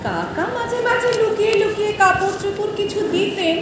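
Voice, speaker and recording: Actress for wronged young orphan turned brave protector: very high, earnest, declamatory, stage radio play, distant in a hall. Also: female voice, very noisy. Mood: earnest, grateful. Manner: emotive, earnest declamation with drawn-out phrases. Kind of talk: stage audio drama acting in Bengali. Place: large hall, live stage performance. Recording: echoey hall from far off, music behind.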